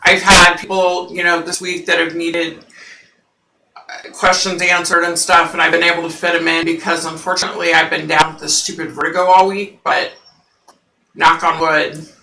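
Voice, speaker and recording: Woman, scratchy gravelly voice, very bright tone, rambling live-stream chat, roomy echo, distant mic. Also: on the low side, flat delivery, normal speed. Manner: rambling, chatty, many fillers. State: scratchy, husky voice. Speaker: psychic livestream host.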